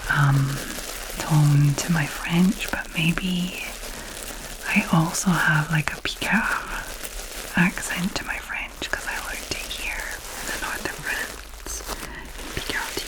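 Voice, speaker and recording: A woman with a Scottish accent, whispering slowly and intentionally in a chatty ASMR video, musing aloud close to the mic. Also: sits low, steady tone, low-quality recording. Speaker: ASMR creator.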